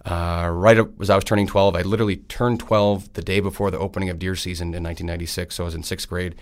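A man's voice: sits low, level tone, fast. A man in his thirties from Wisconsin, answering interview questions in a friendly, rambling way with many fillers. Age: 30s. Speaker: state senator.

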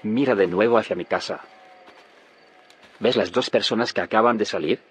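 Determined low-voiced male inventor. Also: normal speed, husky. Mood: assured, intrigued. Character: male inventor of a time machine, determined, secretive. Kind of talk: audio drama dialogue.

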